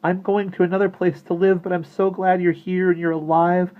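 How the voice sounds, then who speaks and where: A man voicing an earnest, excitable young girl in a storybook, with emphatic exclamations. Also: high voice, brisk, voice pushed up, lightly acted. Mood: overjoyed, earnest. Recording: muffled, narrow-band lo-fi audio.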